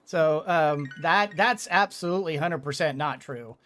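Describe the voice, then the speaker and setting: A woman's voice: deep voice, a little slow, fairly bright tone, soft background music. A woman giving casual let's play commentary, thinking aloud with hesitant phrasing as she goes. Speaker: gaming streamer.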